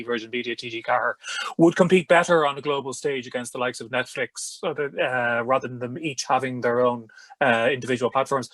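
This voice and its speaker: A man moderating a panel discussion, measured as he reads out audience questions. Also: medium pitch, a bit bright, dry recording.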